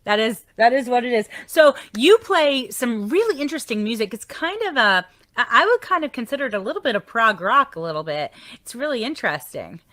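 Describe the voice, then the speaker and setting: Excited chatty woman host, bright voice. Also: on the high side, a little fast, few pauses. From South Carolina. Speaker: radio show host. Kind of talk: casual interview chat.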